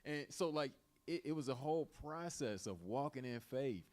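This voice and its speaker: A man's voice: mid-range voice, animated, moderate pace, a little mellow. A man leading an informal Bible study, teaching and retelling in colloquial, repetitive everyday speech.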